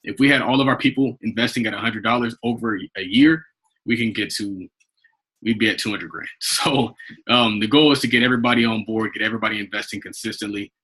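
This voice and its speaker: American guy running an informal group call, kinda deep husky voice, rambles along conversationally. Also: fast, fairly bright tone, rough recording. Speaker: investment club leader hosting a conference call. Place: online group video call.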